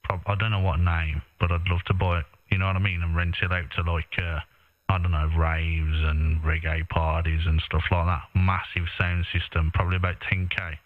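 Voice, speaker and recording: A man's voice: low-pitched, flat delivery, normal speed. A British man chatting casually in a matey, colloquial way as an amateur radio operator. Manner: matey, colloquial, tag questions. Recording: echoey, narrow-band ham radio transmission.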